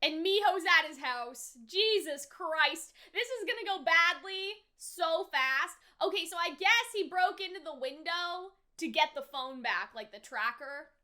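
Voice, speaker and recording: Very high, animated woman reacting out loud. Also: unhurried, few pauses. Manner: exclamatory, slangy, swearing, draws out words. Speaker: reaction video host. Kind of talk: live anime reaction commentary.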